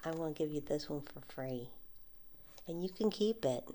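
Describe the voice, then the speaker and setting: A woman in an ASMR roleplay as a friendly flea market vendor, speaking softly, often slipping into whispers, with light frequent laughs. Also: deep voice, animated, normal speed, a little hiss or hum.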